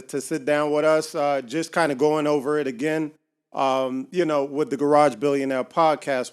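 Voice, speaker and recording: A man's voice: fairly high pitch, clean tone. A man hosting a casual podcast interview over a video call, talking with lots of ums and restarts. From Miami. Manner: hesitant, many fillers and restarts. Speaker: podcast host. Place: home garage.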